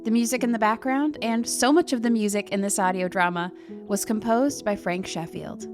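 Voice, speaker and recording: A woman's voice: medium pitch, normal speed. A woman podcast host reading a scripted outro in an announcing style. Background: music.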